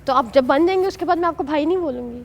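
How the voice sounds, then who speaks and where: High, gravelly young woman. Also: very fast. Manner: insistent, switching between Urdu and English. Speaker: reality show contestant. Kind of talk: unscripted pleading confession.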